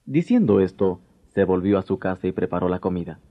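A man's voice: sits low, animated, fairly quick. A man narrating a scripted tale dramatically as a radio drama storyteller. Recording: dull lo-fi 1990s radio recording, music behind.

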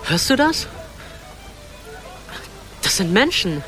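A man's voice: high voice, very animated, takes it slowly, bright, forceful tone, heavily acted. Earnest boy, music behind. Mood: hopeful. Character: earnest, kindly boy, protective older brother. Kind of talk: radio play acting.

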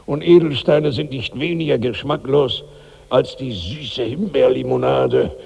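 Radio-play glutton: older, enormous, demanding, husky, unhurried delivery. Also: male voice, mid-range voice, lively intonation, darkish tone, long reverb tail, highs cut off, exaggerated voice. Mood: jaded, dissatisfied. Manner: slow, grumbling, demanding. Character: gluttonous 65-year-old giant man, insatiably hungry, demanding. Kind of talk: 1960s German radio drama acting.

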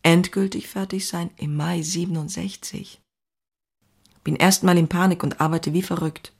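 Husky, gravelly woman diarist. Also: fairly low pitch, fairly even intonation, moderate pace. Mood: intimate, restless. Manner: wry, sardonic, intimate. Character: outspoken, passionate woman writer confiding in her diary. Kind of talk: dramatized diary reading, scripted narration.

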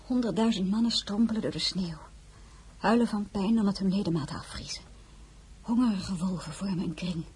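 An actress playing an earnest, anxious younger Frenchwoman, the plain-spoken heroine of an audio drama, in a measured manner. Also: mid-range voice, a little mellow, slight background noise, close to an everyday voice. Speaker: actress and narrator. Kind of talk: acted audio drama with first-person narration.

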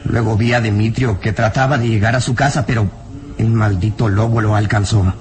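An actor playing a burly, frightened and bitter peasant villager in a melodramatic radio drama, anguished, emphatic and halting. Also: male voice, lowish voice, fairly even intonation, close to an everyday voice. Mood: horrified.

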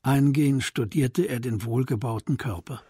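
A man's voice: medium pitch, normal speed, dark tone, breathy edge, no echo, studio quality. A man reading scripted narration as the narrator of a German radio drama. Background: music.

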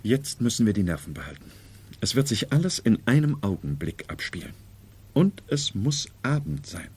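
Gelehrter Doktor, belegt und lebhaft moduliert. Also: male voice, mid-range voice, sing-song, moderate pace, darkish tone, husky. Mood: intent, hopeful. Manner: pedantic, lecturing, formal. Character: learned, self-assured male psychiatrist, explaining at length. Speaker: radio drama actor. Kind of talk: 1970s radio drama acting.

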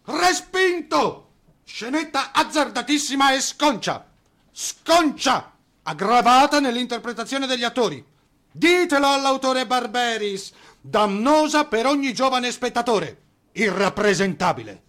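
An actor playing a pompous, outraged theatre censor, declaiming officiously and emphatically on stage. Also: male voice, extremely high pitch, lively intonation, unhurried, fairly bright tone, full character voice.

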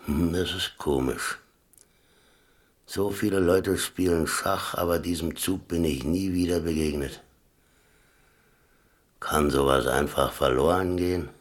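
An actor playing a laconic German ex-prisoner and adventurer, talking in a dry, colloquial, musing way in a radio drama. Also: male voice, sits low, measured pace, pauses often. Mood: musing, rueful. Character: laconic, wry German ex-prisoner and adventurer telling his story. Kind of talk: radio drama acting and first-person narration.

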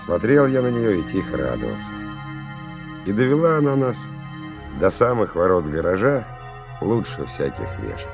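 An actor playing a gruff, wary stalker who gives terse orders and tells his story in a 1990 radio play. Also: fairly low pitch, very animated, normal speed, long pauses, music in the background. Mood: reflective, narrating. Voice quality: gravelly, husky. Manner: terse, colloquial, commanding. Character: gruff, wary, bossy male stalker leading a dangerous expedition. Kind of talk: 1990 radio drama acting with first-person narration.

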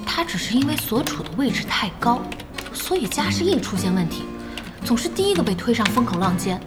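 Casting: outspoken lady boss and gaming fan, animated highish voice, audio drama with music underneath. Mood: earnest, opinionated. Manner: brisk, outspoken. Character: brisk, bossy woman internet-cafe owner, passionate gaming fan. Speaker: voice actor in a radio drama. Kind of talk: Chinese audio drama acting.

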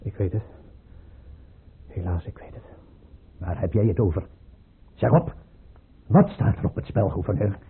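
A man playing a suspicious Russian emperor in a period radio play, erratic and husky, swinging from muttered questions to shrill commands. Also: a little slow, long pauses, full character voice. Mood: suspicious, testing. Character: volatile, suspicious Russian emperor, imperious yet pleading. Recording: muffled, tinny old radio recording.

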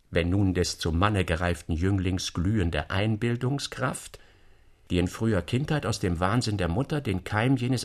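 Fervent, low-voiced gothic storyteller. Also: male voice. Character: fervent storyteller reciting a dark tale of sin and madness. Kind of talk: literary radio reading.

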